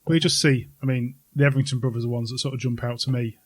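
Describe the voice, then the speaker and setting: Man hosting a chatty podcast, mid-pitched. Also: little pitch movement, fast talker. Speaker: podcast host. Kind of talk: casual chat.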